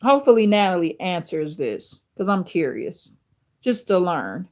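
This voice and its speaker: A woman with a deep, authoritative voice hosting a livestream, reading viewer chat aloud and answering slowly with many fillers and restarts. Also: sing-song, darkish tone. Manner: addresses viewers directly. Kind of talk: casual chat with live viewers.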